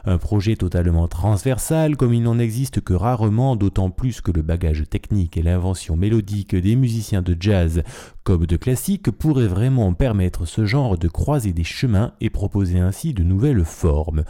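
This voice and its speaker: Man, radio jazz host, very mellow dark tone, lowish voice, measured delivery. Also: expressive. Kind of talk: presenting records on the radio.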